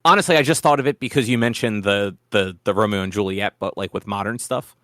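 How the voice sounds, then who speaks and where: A man's voice: on the low side, quick pace, fairly bright tone, dry recording, studio quality. A man co-hosting a podcast, chatting casually about films in a rambling, swearing way. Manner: rambling, profane. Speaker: podcast co-host. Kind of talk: casual film discussion chat.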